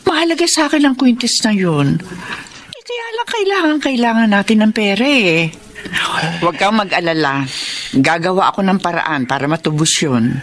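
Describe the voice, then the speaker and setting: A woman's voice: mid-range voice, sing-song, moderate pace, lightly acted. A woman playing a worried, self-sacrificing middle-aged mother, expressively, in a Filipino radio drama. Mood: pleading.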